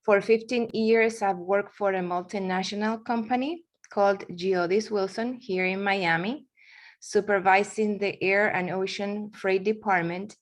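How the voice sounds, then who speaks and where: A woman's voice: medium pitch, steady tone, a little slow. A woman in her forties from Argentina, speaking English with an Argentine accent, giving a presentation with frequent fillers and restarts. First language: Spanish. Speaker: guest speaker. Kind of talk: webinar presentation.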